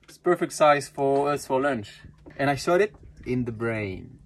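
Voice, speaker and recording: A man's voice: mid-range voice, lively intonation, unhurried. A man in his thirties talking casually on a sailboat, easygoing and chuckling. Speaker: sailing vlogger. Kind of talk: casual chat. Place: outdoors on a sailboat at sea. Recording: noisy outdoor camera audio.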